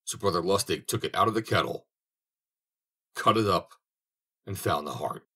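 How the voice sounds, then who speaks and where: A man's voice: deep voice, normal speed, husky. A man reading a fairy tale aloud as an audiobook narrator, telling it expressively. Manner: expressive storytelling. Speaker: audiobook reader.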